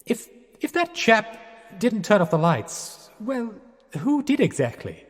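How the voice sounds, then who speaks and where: A man's voice: sits high, huge pitch swings, takes it slowly, exaggerated voice. An actor playing a jittery, genteel young Englishman of the 1920s in a radio play, dithering politely with a plummy English accent. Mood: sheepish. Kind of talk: radio theatre acting. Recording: long echoing hall, music behind.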